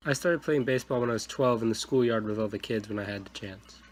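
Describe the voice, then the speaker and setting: A man's voice: mid-range voice, quick pace, close to an everyday voice. Man as 1920s baseball star, noisy recording. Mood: matter-of-fact. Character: famous 1920s male baseball home-run star. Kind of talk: rehearsed interview answers.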